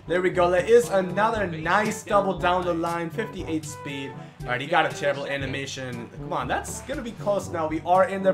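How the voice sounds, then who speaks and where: A young man doing casual, excitable play-by-play over his own video game, a bit congested from a cold, in a small room at home. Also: high voice. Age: young adult. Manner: excitable play-by-play, calls hits like a broadcaster. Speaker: gaming YouTuber. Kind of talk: casual gameplay commentary.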